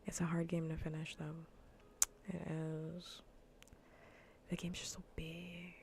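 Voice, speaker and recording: A woman livestreamer chatting softly in ASMR style, often whispering with a breathy, gravelly fry, in a casual, rambling way that repeats phrases. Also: on the low side, monotone, a little slow, noisy background. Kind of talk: soft ASMR gaming livestream chat. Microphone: close ASMR microphone.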